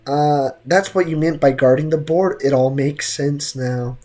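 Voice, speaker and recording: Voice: medium pitch, steady tone, measured pace, darkish tone. A game streamer chatting casually over gameplay and reading chat, with slangy, joking asides.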